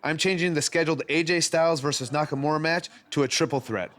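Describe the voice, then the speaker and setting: A man's voice: a little high, fast talker, a bit bright, close to an everyday voice. A man playing a bossy wrestling show manager in a video game cutscene, commanding and curt.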